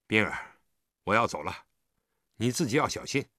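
一名男子在有声故事中扮演一个和善、护着孩子又不失坚定的成年男子，语气循循善诱。. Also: male voice, lowish voice, expressive, normal speed, bright, forceful tone, dry recording, studio quality, voice pushed down. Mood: caring, worried. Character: kind, protective grown man, teasing yet firm.

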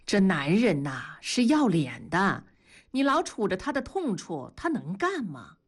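A woman's voice: mid-range voice, sing-song, measured pace, breathy edge. Woman playing a frank, worldly-wise elder sister. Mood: earnest coaxing. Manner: earnest, admonishing.

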